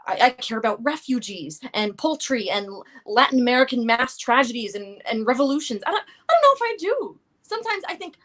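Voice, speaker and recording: A woman's voice: on the high side, big pitch swings, brisk, hardly any pauses, a bit bright. A woman playing an edgy, anxious daughter in a live play reading, speaking in a hesitant, earnest ramble. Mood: anxious, confessing. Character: anxious, edgy daughter confessing violent urges.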